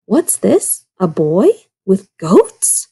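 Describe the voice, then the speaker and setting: A woman's voice: medium pitch, big pitch swings, very slow, gravelly, dark tone, husky, exaggerated voice. A woman voicing a hungry, grumpy old male wolf, stiff and weary, in a storybook read-aloud. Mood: curious, hungry. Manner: growling, grumbling.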